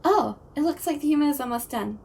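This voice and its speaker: A woman's voice: high voice, expressive, quick pace, creaky, very noisy. A woman playing a cheerful, pun-loving young ghost in an audio drama, perky and breezy. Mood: breezy, playful.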